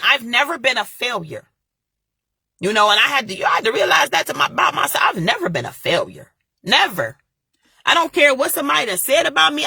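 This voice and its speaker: A woman's voice: fairly high pitch, gravelly, sharp tone, breathy edge, dry recording, clean studio sound. A young woman with giving an impassioned motivational talk, repeating her points and speaking straight to her viewers. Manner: preachy, repeats phrases, talks straight to viewers. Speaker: motivational vlogger.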